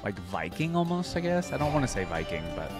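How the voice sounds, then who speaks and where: A man's voice: mid-range voice, very animated, a little fast. Casual man reacting over background music. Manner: informal, thinking aloud in short remarks. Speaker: reaction video host.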